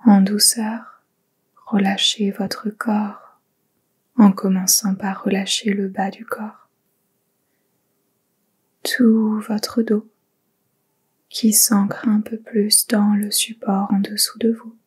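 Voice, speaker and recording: A woman guides a relaxation meditation in a soft, whispery voice that is full of breath. She speaks slowly and gently, leaving pauses between soothing instructions. Her intonation stays very flat and steady throughout. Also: medium pitch, slightly rough, rough recording. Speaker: yoga nidra meditation guide.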